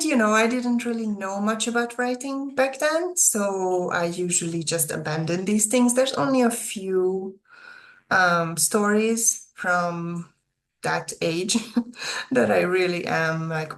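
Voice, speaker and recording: A woman's voice: lowish voice, vocal fry, a bit bright. A young adult woman with a Hungarian accent chatting casually and a little hesitantly, as an author talking to her viewers. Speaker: author presenting own video channel. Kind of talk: casual chat to camera.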